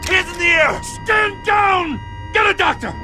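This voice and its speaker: An actor playing a police officer shouting commands in a video game. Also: male voice, very high voice, a little slow. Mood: commanding. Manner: barking orders. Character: shouting male police officer taking control. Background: loud music.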